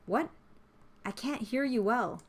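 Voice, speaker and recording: A woman's voice: a little high, expressive, slow, voice pushed up. A woman voicing a blunt, easily annoyed young protagonist in a testy manner. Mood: confused.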